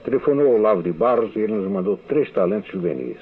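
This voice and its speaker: Older man, very low, tinny archival radio. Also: animated, quick pace. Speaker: radio writer giving a testimony. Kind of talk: reading a memoir testimony.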